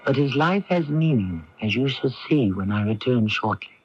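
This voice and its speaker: A man narrating as host of a scripted radio mystery in a dramatic, measured, reflective manner. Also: medium pitch, animated, slightly rough, dry recording. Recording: dull 1980s radio broadcast, faint music.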